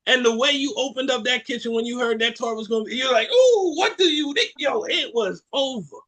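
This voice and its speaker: Jamaican male podcast co-host, higher-pitched voice, playful storyteller who acts out what people said. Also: a little fast, poor audio. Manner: animated storyteller, joking, acts out quotes. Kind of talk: casual chat.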